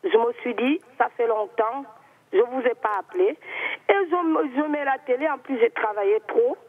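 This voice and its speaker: High-voiced woman on a tinny phone line. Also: moderate pace, breathy edge. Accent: Equatorial Guinean. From Equatorial Guinea. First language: Spanish. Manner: emphatic, emotional, heartfelt. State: has a cold, sore throat. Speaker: caller. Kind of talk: phone-in call to a talk show. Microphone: telephone.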